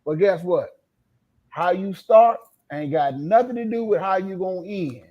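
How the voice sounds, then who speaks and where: Playful high-voiced man, dull lo-fi sound. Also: measured pace, darkish tone. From North Carolina. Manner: playful, boastful, laughs. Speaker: guest. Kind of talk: casual storytelling.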